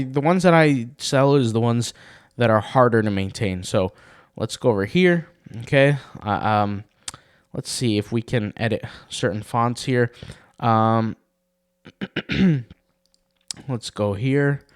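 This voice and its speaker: A man talks through a screen-recorded website tutorial in a casual, meandering way, full of restarts and fillers. His voice is a touch raspy and sits at an ordinary middle pitch. The recording is dry and close, with no room sound. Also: no room sound. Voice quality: slightly raspy. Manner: rambling, lots of fillers and restarts. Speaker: blogging tutor.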